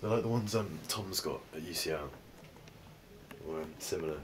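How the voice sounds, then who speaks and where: Low-voiced young student played by a man. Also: normal speed, noisy background, lightly acted. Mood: idle, awkward. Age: young adult. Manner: informal, sweary. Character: frustrated young male student arguing with his ex-girlfriend. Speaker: film actor. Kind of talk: naturalistic film dialogue. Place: small bedroom, indoors.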